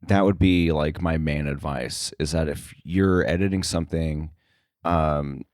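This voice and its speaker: A man with a deep voice gives casual interview answers in a dry recording. He talks haltingly, hedging and working out his point as he goes. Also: a little mellow, no echo. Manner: halting, hedging, thinking aloud. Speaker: podcast guest, sound editor.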